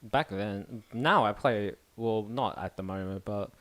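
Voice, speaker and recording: A young man co-hosting a casual podcast chat, laid-back with short replies, laughing when excited. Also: fairly low pitch, measured pace. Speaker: podcast co-host. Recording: noisy recording.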